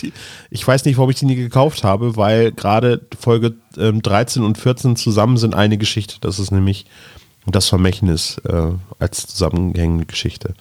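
A man's voice: lowish voice, steady tone, normal speed, a little mellow, no echo, clean studio sound. A man co-hosting a podcast in a casual, informal fan chat. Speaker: podcast co-host.

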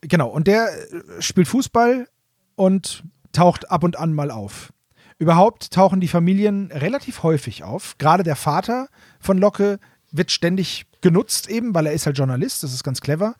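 Male podcast co-host, slightly high voice, casual chat, explanatory, rephrases often, dry sound. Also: animated, normal speed, darkish tone, dry recording. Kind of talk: casual nostalgic chat about audio dramas.

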